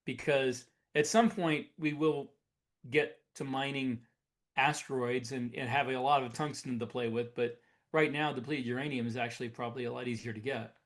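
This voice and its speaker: A man co-hosting a podcast, explaining things in a casual, measured and somewhat halting way as he discusses technology. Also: mid-range voice, fairly even intonation. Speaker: podcast co-host. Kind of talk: casual speculative tech discussion.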